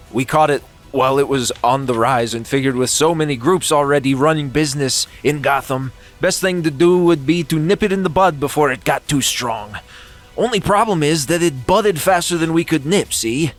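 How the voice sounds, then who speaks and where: A man's voice: mid-range voice, close to an everyday voice. A man reading the part of a bumbling middle-aged police commissioner in a comedic script reading, hammy and rambling, laughing now and then. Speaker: podcast co-host.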